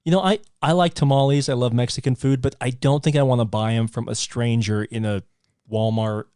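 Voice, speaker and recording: A man's voice: medium pitch, steady tone, brisk, dark tone, clean tone, dry recording. Wry, skeptical man, dry close sound. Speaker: podcast co-host. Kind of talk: casual chat.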